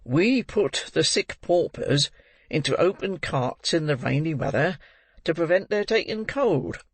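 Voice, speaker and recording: A pompous, self-important parish beadle, as a male audiobook reader plays him, laying down stern pronouncements slowly and with weight. His voice rises a little above the reader's own. Close, dry sound. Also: on the high side, animated, no echo, voice pushed up. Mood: callous. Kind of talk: audiobook character reading.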